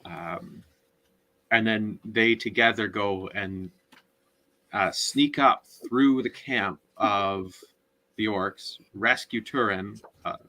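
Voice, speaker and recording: Slow, halting man with a forceful tone. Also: on the low side. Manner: halting, searching for words. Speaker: podcast co-host. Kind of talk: casual book discussion and plot recap.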